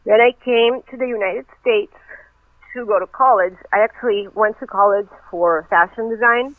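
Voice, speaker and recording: A woman's voice: medium pitch, no echo. A woman who grew up in Venezuela, a personal trainer, chatting as a guest and telling her story at length over the phone. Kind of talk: casual interview over the phone. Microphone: telephone. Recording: tinny phone line, dry.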